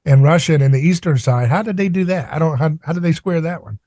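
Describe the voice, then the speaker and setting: A man's voice: a little high, fast, warm tone, dry recording. An American man interviewing casually about politics, informal and questioning in manner.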